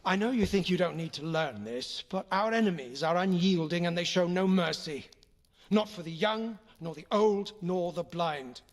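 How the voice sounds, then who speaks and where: An actor playing a royal uncle and sword instructor in an audio game, firm, formal and encouraging. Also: male voice, high-pitched, normal speed. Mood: grave.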